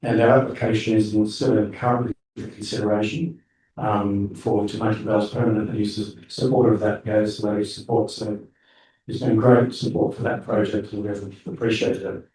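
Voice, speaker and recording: Low, mellow man in a very echoey room. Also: little pitch movement. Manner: halting, filler-heavy. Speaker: club member introducing the speaker. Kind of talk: introduction speech. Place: meeting room. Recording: very echoey room, distant camera.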